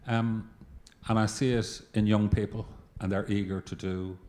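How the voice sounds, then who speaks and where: A man's voice: fairly low pitch, flat delivery, normal speed, a little mellow. An older man in his sixties asking a reflective, hesitant question from the audience. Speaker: audience member. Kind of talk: question from the floor.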